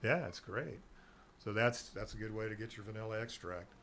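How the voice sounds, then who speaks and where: A man's voice: fairly low pitch, moderate pace, a bit bright, slight background noise. A middle-aged man chatting casually as a guest on a local talk show, rambling and meandering, laughing easily. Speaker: guest, herbal nutrition seller.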